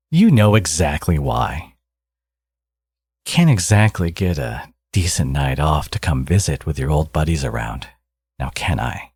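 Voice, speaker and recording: A man playing a smug, teasing supervillain and doting father in an intimate ASMR audio roleplay, with sardonic, drawling threats and a husky voice. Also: rumbling low, no echo, heavily acted. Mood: taunting menace.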